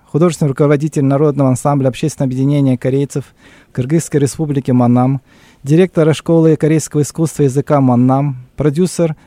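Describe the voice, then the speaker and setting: A man hosts a live radio interview in a formal, measured announcer manner. His voice is fairly high but mellow in tone. He is recorded close and dry on a studio microphone. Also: dry recording. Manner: measured, announcer-like reading of numbers. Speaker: radio talk show host.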